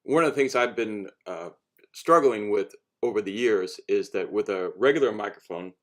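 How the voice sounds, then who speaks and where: A man's voice: fairly low pitch, moderate pace. A man giving a casual how-to talk as a hobbyist showing homemade gear, rambling and hesitant, often breaking off to restart. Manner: rambling, hesitant, self-interrupting.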